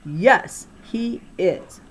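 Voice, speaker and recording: A woman's voice: medium pitch, lively intonation, drawn-out words, slight background noise. A woman reader voicing an indignant young schoolgirl in a storybook, blunt and emphatic. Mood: angry.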